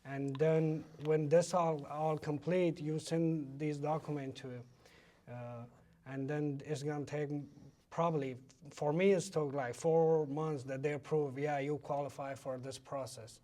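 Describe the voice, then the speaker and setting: A man with an Afghan accent and a fairly high-set voice speaks as an interview guest. His native language is Pashto, and his English comes in halting, repeated phrases as he explains things conversationally. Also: steady tone, warm tone.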